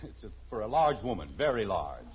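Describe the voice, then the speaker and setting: A man's voice: lowish voice, very animated, brisk, breathy edge, heavily acted. A man playing a flustered middle-aged American father in a radio sitcom, hesitant and stammering. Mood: embarrassed. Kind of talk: 1950s radio sitcom acting. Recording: muffled old radio recording, faint noise.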